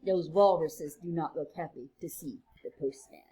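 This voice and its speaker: A woman teacher reading a picture book aloud to children, playful and sing-song, with quieter chatty asides. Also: low-pitched, moderate pace.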